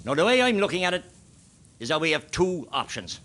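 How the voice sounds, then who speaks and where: A man's voice: fairly high pitch, very animated, fairly quick, a bit bright. An actor playing a resolute guerrilla commander who firmly rallies his men in a radio play. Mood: grave, rallying. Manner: firm, rallying.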